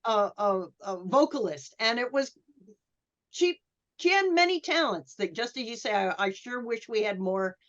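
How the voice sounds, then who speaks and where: A woman's voice: fairly high pitch, lively intonation, normal speed, a bit bright. A woman speaking hesitantly, with many ums, as a panelist in a casual discussion. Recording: dull lo-fi online call audio.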